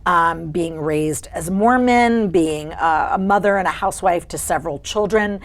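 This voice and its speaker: A woman with a feminine voice speaks in a relaxed interview. Her telling is animated and expressive, moving between easy asides and bright recollection. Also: fairly low pitch, moderate pace. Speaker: audiobook narrator and voice actor. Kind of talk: casual interview chat.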